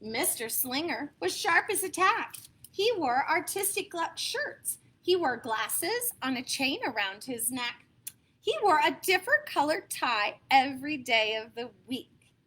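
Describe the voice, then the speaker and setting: A woman with an American accent reads a storybook to young children in a high, bright voice. She takes her time and leans into key words with dramatic emphasis, adding little asides to her listeners. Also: measured pace, a little hiss or hum. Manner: expressive, dramatic emphasis, asks listeners questions. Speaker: storyteller reading picture books to children.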